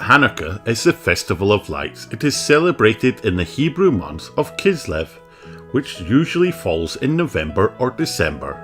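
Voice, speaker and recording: A storyteller reading a children's picture book aloud in an expressive, animated way. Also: mid-range voice, moderate pace, music in the background. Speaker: audiobook reader.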